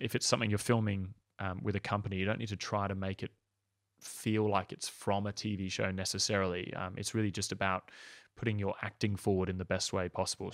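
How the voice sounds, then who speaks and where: Man, 30s, casual coach read, lowish voice, dry close sound, loose with filler words. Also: a bit bright, dry recording. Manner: casual and upbeat, many ums. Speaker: acting coach. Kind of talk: online tutorial, screen walkthrough.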